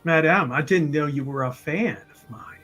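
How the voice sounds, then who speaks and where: A man playing a flattering, theatrical gentleman scholar in a tabletop game, florid and flirtatious in his courtesy. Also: on the high side, moderate pace, faint music behind, lightly acted. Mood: smitten, theatrical.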